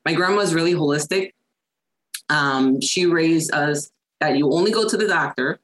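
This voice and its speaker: Low voiced puerto rican lady, chatty guest, lots of ums and laughs. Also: moderate pace, fairly bright tone, poor audio. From Puerto Rico. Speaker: guest chiropractor. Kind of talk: casual interview chat.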